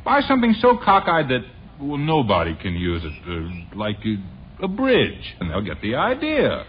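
An American actor in a 1950s radio sitcom, playing an excitable neighbor full of silly schemes, chuckling and musing as he talks. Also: mid-range voice, normal speed. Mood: amused. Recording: muffled noisy old radio recording.

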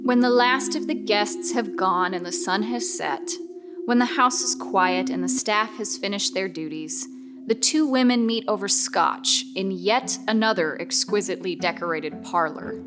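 A woman narrates a horror radio story in a measured, suspenseful voice at middle pitch. Music plays clearly behind her. She holds an even, steady pace.

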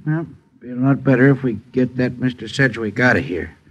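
A man's voice: medium pitch, a little fast, a bit bright, muffled recording, close to an everyday voice. An actor playing a suspicious, easily flustered boarding-house landlord in a 1950s radio drama, hesitant and folksy in his grumbling.

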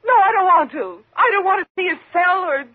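An actress playing an agitated, pleading, frightened woman in a 1950s radio thriller, speaking haltingly. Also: very high voice, animated, no room sound. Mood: desperate, insistent. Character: frightened, insistent woman haunted by a convict. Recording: muffled, lo-fi 1950s radio recording.

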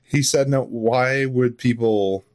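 A man speaking as an interview guest in casual chat, telling things informally and a little haltingly. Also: medium pitch, a little mellow. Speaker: interview guest, audio drama writer.

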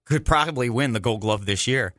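A man talks sports at a quick clip in a bright, forceful voice. He sounds confident and chatty, like a co-host in casual discussion, recorded dry with no room sound. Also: mid-range voice, dry recording. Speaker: sports talk show co-host. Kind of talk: casual baseball talk.

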